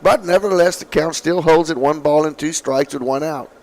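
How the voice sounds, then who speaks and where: A man calling softball play-by-play, his voice slightly raspy from allergies, with calm, measured calls. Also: a little high, rough recording. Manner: calm, measured calls, excited on big hits. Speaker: play-by-play announcer.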